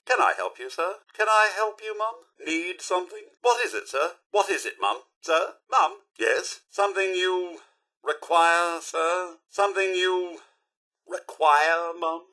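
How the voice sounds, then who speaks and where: A posh-mannered british robot butler for a game, highish voice, super animated, fussy and formal, kinda sarcastic. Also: male voice, measured pace, heavily acted. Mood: eager to serve. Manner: formal, servile, theatrically prim. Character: polite, fussy, wry male robot butler. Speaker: game character voice actor. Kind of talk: video game character voice lines.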